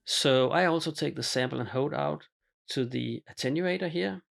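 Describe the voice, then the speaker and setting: Gravelly-voiced man explaining a synth patch. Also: on the high side. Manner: relaxed, halting with frequent ums and uhs. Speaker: synthesizer demonstrator. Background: synthesizer music.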